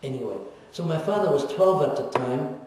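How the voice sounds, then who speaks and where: A man in his seventies giving a personal testimony at a lecture, reflective and wry, pausing often and teasing his audience. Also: on the high side, lively intonation, noisy background. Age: 70s. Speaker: guest speaker, Holocaust survivor. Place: lecture hall. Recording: echoey hall recorded from far off.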